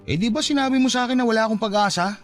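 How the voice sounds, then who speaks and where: A man's voice: extremely high pitch, very fast, soft background music. An actor playing a hurt, fed-up young father in an emotional, reproachful Filipino radio drama style. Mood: frustrated, hurt. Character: hurt, fed-up young father giving up on his unrequited love.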